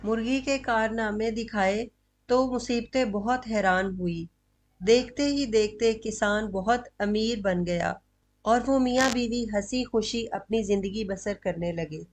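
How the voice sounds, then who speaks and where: A woman's voice: on the high side, a little slow. A woman telling a scripted moral story as narrator of a cartoon.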